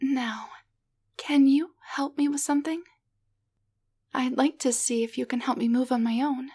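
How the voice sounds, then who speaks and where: A woman voicing a timid, grateful tiny enchanted doll in an intimate roleplay audio drama, speaking softly, gently and haltingly with a breathy voice. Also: high voice, fairly even intonation. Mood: gentle, earnest. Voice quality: soft, breathy.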